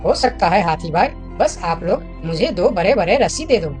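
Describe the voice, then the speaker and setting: A woman's voice: on the low side, animated, moderate pace, darkish tone, lightly acted. A woman voicing a clever, confident young male monkey for a children's cartoon, with music under the voice. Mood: confident, reassuring.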